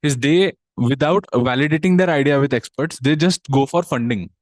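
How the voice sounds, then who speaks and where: A young man asking a speaker a question from the audience, pausing often and slipping in "ki" between clauses. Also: fairly high pitch, no echo, studio quality. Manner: hesitant, uses Hindi "ki" as a connector.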